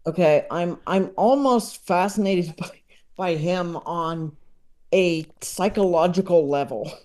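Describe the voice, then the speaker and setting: High-voiced man, soft mellow tone, unhurried. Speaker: interview guest, comedy expert. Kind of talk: casual conversational film discussion.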